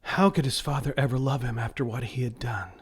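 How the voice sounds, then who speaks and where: A man narrating a scripted children's story in a deliberate read-aloud manner. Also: medium pitch, background noise.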